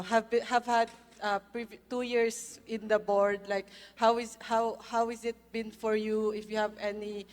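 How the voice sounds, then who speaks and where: A woman in the audience asking a panel a question, hesitant and halting. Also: fairly high pitch. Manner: hesitant, many restarts. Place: conference hall. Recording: hall reverb.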